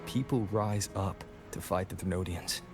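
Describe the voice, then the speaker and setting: A man's voice: low voice, normal speed, natural voice. An actor playing a resolute male hero who speaks earnestly to reassure a companion, in a game cutscene. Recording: with game music.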